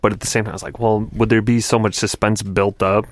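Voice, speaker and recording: A man's voice: fairly low pitch, level tone, fast talker, dry recording. A man co-hosting a podcast, thinking aloud as he searches for his words. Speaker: podcast co-host. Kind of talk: casual podcast chat.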